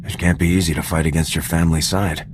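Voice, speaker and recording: A man's voice: very deep voice, flat delivery, fast talker, a little hiss or hum. A man playing a terse, plain-spoken soldier hero in a sci-fi video game. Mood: serious. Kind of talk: video game dialogue acting.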